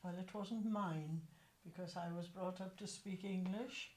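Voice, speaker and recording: A middle-aged woman answers hesitantly, with some "uh" and false starts. Her voice is somewhat low and mellow. It sounds distant from the mic in a roomy, echoing space. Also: fairly even intonation, a little slow. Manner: hesitant, with "uh" and restarts. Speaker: interviewee.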